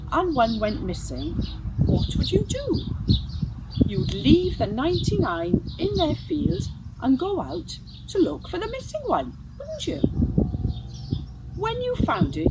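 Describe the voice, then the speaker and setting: A woman reading a children's Bible story aloud in an expressive, animated way, putting questions to the young listeners. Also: very high-pitched, very noisy. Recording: music behind the reading. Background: music.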